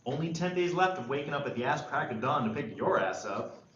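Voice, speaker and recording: A man playing a sardonic, reluctant high school senior at a screenplay table read. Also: expressive, brisk, fairly bright tone, natural voice. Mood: weary, grumbling. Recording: echoey room, muffled, faint noise.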